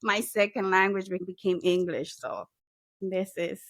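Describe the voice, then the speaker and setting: A woman's voice: mid-range voice, fairly even intonation, a little slow, no echo. Woman, dry close sound. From El Salvador. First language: Spanish. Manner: friendly, mixes in Spanish words. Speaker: guest, SEO consultant. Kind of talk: casual interview talk.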